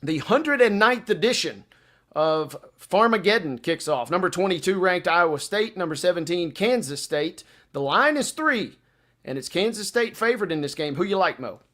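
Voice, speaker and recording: A man's voice: sits high, expressive, normal speed, pushed and bright. A man co-hosting a sports show, chatting through betting picks with colloquial, playful turns of phrase.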